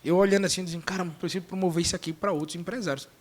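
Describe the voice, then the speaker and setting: Fast-talking joking Brazilian man host. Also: high-pitched. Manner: lively, joking, quick-talking. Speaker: podcast host. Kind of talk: casual podcast chat.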